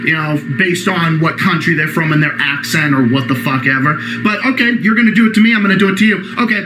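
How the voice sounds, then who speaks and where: A man with a Boston accent and a high-pitched voice tears into someone in a profane rant. He shouts and mocks, and faint background noise sits under his voice. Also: fast. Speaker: YouTube ranter. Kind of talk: angry reaction rant.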